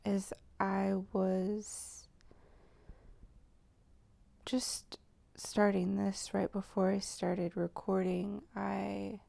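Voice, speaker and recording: A woman leading an improvised guided meditation, speaking softly and haltingly with pauses, often close to a whisper. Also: medium pitch, monotone, gravelly. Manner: slow, hushed, halting delivery with pauses.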